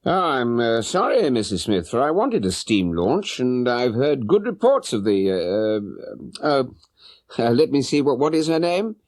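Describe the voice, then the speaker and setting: This is a man performing a British gentleman detective from an audiobook story, coolly confident and urbane. His delivery is clipped and probing, with lively intonation and a dry, close sound. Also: mid-range voice, a little slow, no room sound, pitched above the performer's own voice. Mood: courteous probing. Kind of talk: audiobook character voice.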